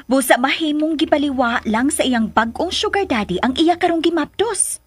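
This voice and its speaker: A woman's voice: high voice, animated, normal speed, no echo. A woman playing a jealous, sarcastic lover who taunts emphatically in a Filipino radio drama.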